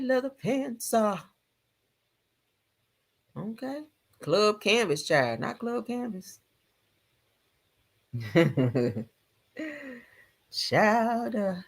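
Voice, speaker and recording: A woman hosting a gossip livestream, giving casual reaction commentary in a sassy, colloquial way with drawn-out asides. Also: on the high side, lively intonation, gravelly, fairly bright tone.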